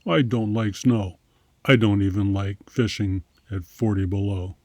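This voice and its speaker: A man voicing a picky, plainspoken brown bear in a read-aloud picture book, with emphatic, deliberate delivery. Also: fairly low pitch, steady tone, a little mellow, no room sound. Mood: grumbling.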